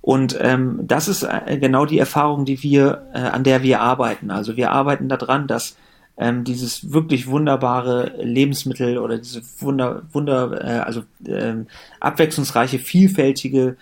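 Man, late 30s, from Germany; relaxed, rambling podcast guest. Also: mid-range voice, normal speed, creaky. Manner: relaxed, chatty, many fillers and restarts. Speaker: company co-founder and managing director. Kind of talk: casual podcast interview.

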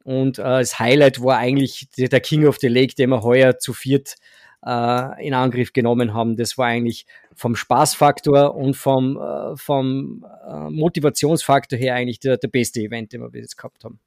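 A man's voice: mid-range voice, fairly even intonation, moderate pace, darkish tone, dry recording. Hesitant man with a dry voice. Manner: hesitant, many fillers and restarts, self-deprecating humor. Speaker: podcast guest, amateur cyclist. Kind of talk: casual podcast chat.

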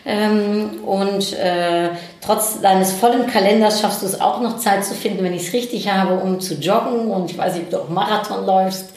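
A woman's voice: medium pitch, moderate pace, hardly any pauses, darkish tone. A woman hosting a podcast interview, asking long hesitant questions full of fillers, who speaks German as a learned language. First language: not German. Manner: hesitant, many fillers, laughs while asking. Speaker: podcast host. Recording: very echoey room.

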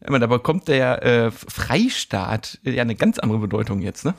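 A man's voice: mid-range voice, expressive, normal speed, creaky. A German-speaking man co-hosting a podcast, chatting loosely and joking with his friend. He keeps tacking a questioning "ne" onto his sentences. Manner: chatty, joking, often ends with "ne?". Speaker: podcast co-host. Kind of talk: casual chat.

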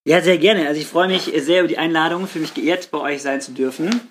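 A man in his late thirties from southern Germany with a fairly high voice. He talks casually and informally as a guest on a podcast. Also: fast, fairly bright tone, slight background noise. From Swabia, southern Germany. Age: late 30s. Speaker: podcast guest, youth church worker. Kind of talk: casual chat.

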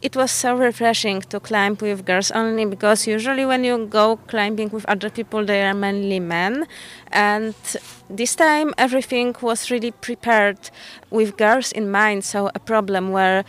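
A woman's voice: medium pitch, normal speed. A woman from Poland speaking sincerely about the festival. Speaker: festival participant.

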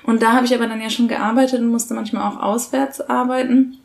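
A woman's voice: fairly high pitch, fairly even intonation, fairly quick, gravelly. A woman in her thirties chatting casually and colloquially as a podcast co-host. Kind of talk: casual conversation about parenting.

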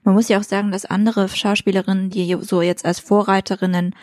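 A woman's voice: mid-range voice, flat delivery, a little fast, darkish tone, no echo, studio quality. A woman interviewer speaking informally in a casual conversation, with colloquial phrasing.

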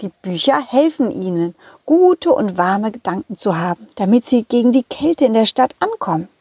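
A woman voicing a cheerful, confident dog hero in a children's audio play, animated and coaxing as the dog talks to young listeners. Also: on the high side, moderate pace, breathy edge, no room sound, clean studio sound, full character voice. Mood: friendly, eager.

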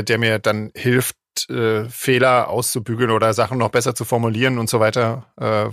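A man's voice: mid-range voice, vocal fry, fairly bright tone, dry recording, clean studio sound. A man, a singer and lyricist, chatting casually on a podcast, hesitant with lots of fillers and frequent laughs.

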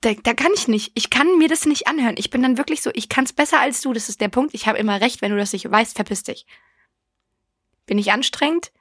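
A woman's voice: fairly high pitch, fairly quick, fairly bright tone, breathy edge, no room sound, clean studio sound. A young woman co-hosting a podcast, chatting casually, blunt and swearing freely as she acts out quotes. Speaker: podcast co-host. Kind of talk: casual chat.

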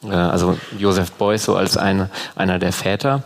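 A man's voice: deep voice, level tone, normal speed, vocal fry. A man casually moderating a live panel as podcast host.

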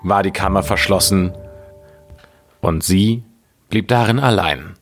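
A man narrating a scripted fairy tale as a storyteller, in a measured, deliberate way. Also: low-pitched, soft background music.

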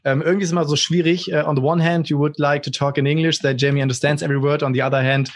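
A man's voice: on the high side, level tone, rapid-fire. A man with a German accent hosting a podcast, switching between languages and hesitating with fillers. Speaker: podcast host. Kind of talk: casual bilingual podcast hosting.

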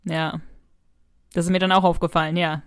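A woman's voice: fairly low pitch, level tone, moderate pace, clear, resonant tone, dry recording. Woman chatting casually, dry close sound. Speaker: podcast co-host. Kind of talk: casual chat discussing an audio drama episode.

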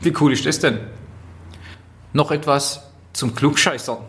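A man with a Swabian German accent gives an instructional talk as a trainer, phrasing deliberately and stressing key words. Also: fairly high pitch, a bit bright. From Swabia, southwest Germany. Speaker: trainer, speaker. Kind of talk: instructional talk on workplace skills.

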